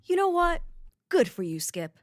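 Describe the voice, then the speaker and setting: An actress playing a blunt, sarcastic, hot-tempered secret agent in an audio comedy drama. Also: female voice, very high-pitched, big pitch swings, moderate pace, no echo, studio quality.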